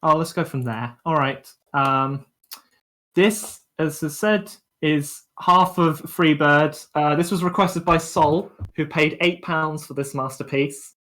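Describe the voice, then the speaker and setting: A man's voice: on the high side, slow, fairly bright tone. A young man chatting casually as co-host of a student radio livestream. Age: young adult.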